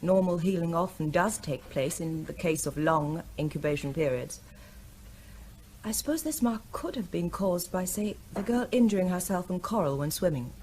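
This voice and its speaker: A woman's voice: lowish voice, animated, normal speed, a little mellow, a little hiss or hum, natural voice. A woman playing a confident clinical doctor. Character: clinical female doctor, a pathologist. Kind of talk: radio drama acting.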